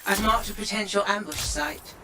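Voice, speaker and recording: An actress playing a businesslike mission handler in a video game, giving clipped, matter-of-fact updates. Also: female voice, medium pitch, little pitch movement, normal speed, noisy background, lightly acted.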